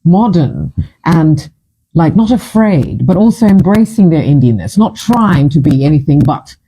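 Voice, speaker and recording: A woman's voice: low-pitched, expressive, a little slow, warm tone, husky, low-quality recording. A woman from Fiji in her fifties, hosting a casual chat and telling her stories in a chatty, dramatic, self-mocking way. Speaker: host.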